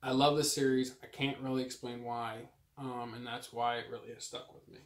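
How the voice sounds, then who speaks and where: A man's voice: medium pitch, little pitch movement, normal speed. Young man in a roomy recording. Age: young adult. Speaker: book vlogger co-host. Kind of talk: casual chat about books. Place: small room at home with bookshelves. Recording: roomy echo from camera distance.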